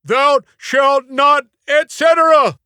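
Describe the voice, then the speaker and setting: A man's voice: very high voice, slow, pushed and bright, no room sound, clean studio sound, voice pushed up, exaggerated voice. A man voicing a thick-headed, bewildered schoolboy in a comic audiobook, blurting out clueless answers. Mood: shouted epiphany.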